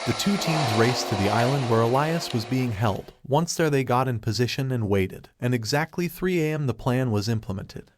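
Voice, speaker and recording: A man narrating a scripted story in an even, measured way. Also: mid-range voice, very noisy.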